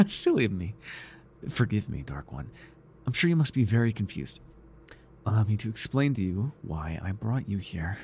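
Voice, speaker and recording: A man playing a devoted, obsessive, fawning cult follower in a theatrical, halting ASMR roleplay. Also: on the low side, darkish tone. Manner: theatrical, breathy sighs, nervous chuckles, halting. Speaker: voice actor.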